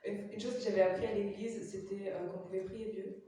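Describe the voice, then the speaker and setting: A young woman from Belgium with a Belgian French accent, giving a halting personal testimony in a chapel, pausing often with fillers. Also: medium pitch, fairly even intonation, warm tone, slight background noise. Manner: hesitant, many fillers. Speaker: testimony giver. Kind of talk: personal testimony in church, interpreted. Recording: echoey chapel from far off.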